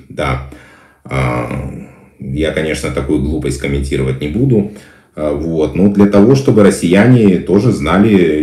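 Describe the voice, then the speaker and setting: Very deep-voiced man on a stream. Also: warm tone, roomy sound. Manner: hesitant with frequent "э" fillers, emphatic repetition. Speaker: political commentator answering viewer questions. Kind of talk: live stream Q&A, reading viewer chat. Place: small indoor room. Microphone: phone camera close-up.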